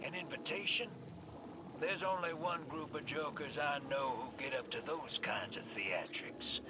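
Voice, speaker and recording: A man voicing a wry, world-weary operative in a video game, talking informally and deliberately. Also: fairly high pitch, background noise, close to an everyday voice. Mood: wry, ominous. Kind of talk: scripted video game acting.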